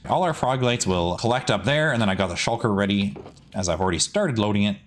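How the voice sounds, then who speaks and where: A man's voice: on the low side, quick pace. A man hosting a gaming video with casual build commentary, often repeating words for emphasis. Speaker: gaming video creator and host.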